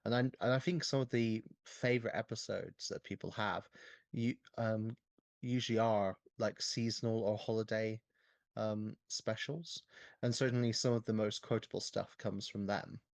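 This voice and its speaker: A man co-hosting a casual podcast, picking words thoughtfully with halting, hesitant phrasing. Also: fairly low pitch, fairly even intonation, no room sound. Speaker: podcast co-host.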